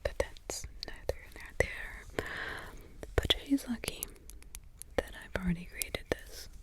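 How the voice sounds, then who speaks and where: A woman whispering in ASMR roleplay as a weary, wry witch mother hiding in the forest. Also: natural voice. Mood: musing. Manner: unvoiced whisper, slow and drawn-out. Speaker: ASMR creator.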